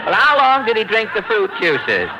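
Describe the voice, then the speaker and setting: A man's voice: high voice, big pitch swings, moderate pace. A man playing an amused straight man in old-time radio comedy, prompting with short questions. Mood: curious prompting. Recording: noisy vintage radio recording.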